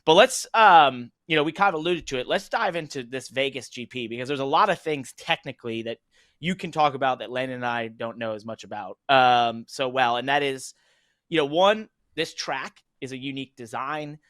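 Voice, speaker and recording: A man's voice: mid-range voice, normal speed, fairly bright tone, dry recording. American male host, dry close sound. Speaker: talk show host. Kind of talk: casual interviewing and discussion.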